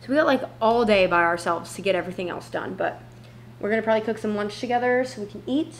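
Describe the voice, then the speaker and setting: A woman's voice: medium pitch, quick pace. A woman in her twenties talking casually to camera in a chatty, slangy way, as in a home vlog. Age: 20s. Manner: chatty, informal, slangy asides. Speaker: vlogger. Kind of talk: casual vlog chat, try-on haul. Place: indoors, open-plan home kitchen and living area. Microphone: camera mic a few feet away. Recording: roomy echo with noticeable background noise.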